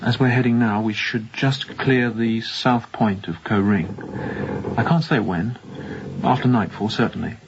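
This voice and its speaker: An actor playing an anxious young ship's captain in a radio drama, speaking in a hushed, urgent, secretive manner. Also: male voice, fairly low pitch, a little slow. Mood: quietly earnest. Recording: dull muffled audio with background noise.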